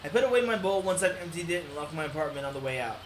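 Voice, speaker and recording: A man's voice: a little high, animated, rapid-fire, noisy background. A man hosting a let's play, reading a visual novel aloud and sometimes tripping over lines. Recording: roomy voice under loud game music. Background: loud music.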